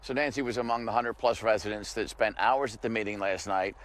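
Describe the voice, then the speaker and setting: A man's voice: fairly low pitch, fairly quick, a bit bright, a little hiss or hum. A middle-aged man reporting live outdoors for TV news, telling the story in a conversational, emphatic way. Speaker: field reporter. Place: outdoors by a grassy field.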